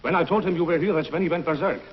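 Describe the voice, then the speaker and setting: Actor as a shaken guard, fast urgent talk, slightly high, muffled old TV audio. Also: male voice, lightly acted. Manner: hurried, urgent reporting. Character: shaken male prison guard. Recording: dull lo-fi 1950s TV soundtrack.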